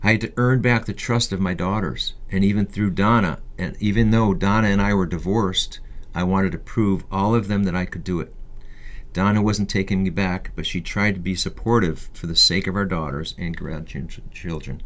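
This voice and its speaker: A man's voice: deep voice, fairly even intonation, moderate pace, a bit bright. A man in his fifties reading a scripted first-person memoir as an audiobook narration. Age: 50s.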